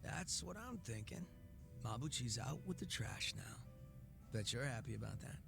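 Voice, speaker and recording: An actor playing a laid-back, sly gangster boss with a soft heart in a video game, speaking in a casual, teasing drawl. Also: male voice, mid-range voice, sing-song, normal speed, vocal fry, music in the background. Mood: casual, wry. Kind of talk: video game cutscene acting.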